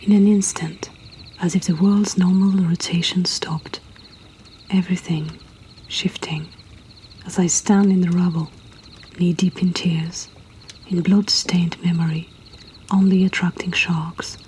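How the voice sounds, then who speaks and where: A woman softly whispering a poetry reading as an intimate storyteller host, breathy and deliberate with long pauses. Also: fairly low pitch, fairly even intonation, a little hiss or hum.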